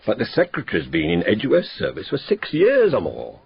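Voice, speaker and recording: An actor playing a businesslike police inspector in a radio drama, with a matter-of-fact way of briefing. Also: male voice, fairly low pitch, huge pitch swings, fairly quick, gravelly, heavily acted. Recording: dull lo-fi radio drama audio.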